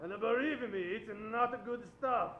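A man playing a pompous detective general with a theatrical, deliberate delivery in an old-time radio comedy. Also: very high-pitched, phone-quality sound, slight background noise. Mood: accusing. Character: pompous detective general solving a murder mystery. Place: small theater stage. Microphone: shared vintage-style stand microphone. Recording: roomy live theater stage.

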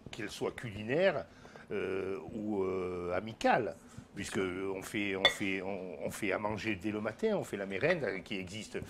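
An older man, a restaurant owner talking about his bar in a gruff, joking, halting way, on a lapel mic. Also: low-pitched, heavy background noise. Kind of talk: casual interview. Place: small wine bar, indoors.

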